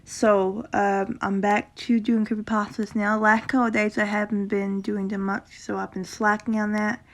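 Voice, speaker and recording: Woman with a sore, creaky throat. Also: medium pitch, little pitch movement, moderate pace. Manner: stumbles and corrects herself while reading; reacts casually between passages. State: sore throat, slight rasp. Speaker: horror story reader. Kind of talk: casual horror story reading.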